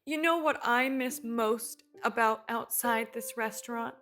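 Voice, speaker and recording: Woman playing a warm candid survivor in a radio drama, higher voice, music behind. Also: unhurried. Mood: wistful. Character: warm, candid, resilient female survivor, expecting a baby. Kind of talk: radio play acting.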